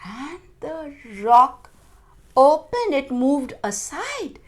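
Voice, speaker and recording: A woman telling a children's story in an expressive, deliberate way, with dramatic phrasing and stressed words. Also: high-pitched.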